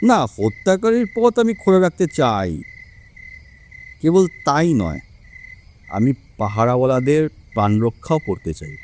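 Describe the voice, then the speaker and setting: An actor playing an assured, dryly teasing detective in an audio story. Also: male voice, mid-range voice, sing-song, measured pace, pauses often, a little mellow. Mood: firm.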